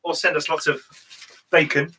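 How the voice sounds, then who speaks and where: A man with a fairly high, bright, forceful voice co-hosts a live stream about tabletop games. He jumps in eagerly, laughs easily, and agrees readily with his co-host. He sounds like he is on a home computer mic. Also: level tone, husky. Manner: enthusiastic, quick to agree, laughs often. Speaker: co-host of a live tabletop game discussion show. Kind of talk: casual live-stream chat.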